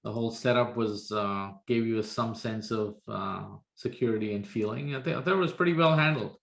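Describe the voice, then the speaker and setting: A man's voice: fairly low pitch, a little fast, vocal fry, roomy sound. A man co-hosting a podcast in a studio, upbeat, with many fillers. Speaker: podcast co-host. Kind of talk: podcast interview.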